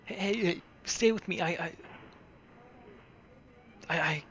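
Stammering male gamer, slightly high, dull audio. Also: slow, fairly bright tone, faint music behind. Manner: stammering, hesitant, casual. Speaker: gamer roleplaying the player character. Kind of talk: improvised in-character roleplay over gameplay.

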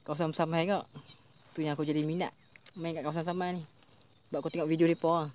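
A young man with a Malaysian Malay accent giving casual commentary while fishing outdoors, bursting into excited shouts when a fish strikes. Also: fairly high pitch, fast, a little hiss or hum. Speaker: fishing vlogger. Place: outdoors by a swampy plantation stream.

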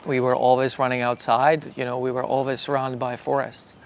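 A man's voice: mid-range voice, flat delivery, brisk. A middle-aged man from Czechoslovakia, explaining patiently in an outdoor garden with many fillers and restarts. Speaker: bonsai artist. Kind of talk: casual explanation. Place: outdoor garden under a wooden pergola.

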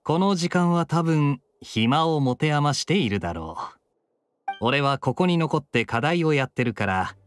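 Young knight captain, suave, unhurried, expressive intonation, anime game style. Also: male voice, a little high. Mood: relaxed.

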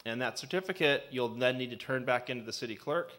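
A man's voice: mid-range voice, fast talker. Man giving an ethics training talk. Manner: conversational, lots of fillers; says he has a loud voice. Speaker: assistant city attorney. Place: city meeting room. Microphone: desk microphone on a stand.

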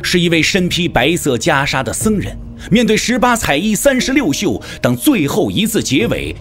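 Animated male storyteller, slightly high voice. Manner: theatrical storytelling delivery. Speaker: narrator. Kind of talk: dramatic scripted narration of a martial-arts novel.

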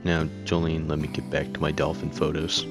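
A man voicing a distracted, indifferent, deadpan father in an amateur comic dub. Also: rumbling low, a little fast. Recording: music behind the voice.

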